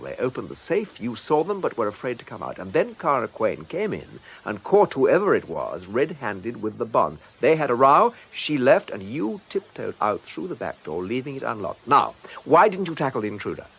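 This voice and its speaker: An actor playing a shrewd, urbane police inspector with a wry, authoritative manner in a radio drama. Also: male voice, medium pitch, a bit bright, slightly rough, heavily acted, rough recording. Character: shrewd, urbane, commanding British police inspector, drily ironic.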